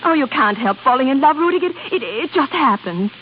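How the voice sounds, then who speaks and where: A woman's voice: high voice, animated, a little fast. A woman playing a temperamental, lovesick young opera diva with dramatic, emotional 1930s radio acting. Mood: lovesick, miserable. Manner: dramatic, emotional, breathlessly repeating words. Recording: muffled, noisy 1930s radio broadcast.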